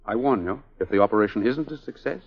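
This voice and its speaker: An actor playing a suave, obsessed male surgeon in a 1940s radio drama, speaking in a deliberate, commanding way. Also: lowish voice, breathy edge. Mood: intense, grave. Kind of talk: 1940s radio melodrama acting. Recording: muffled old 1940s radio recording.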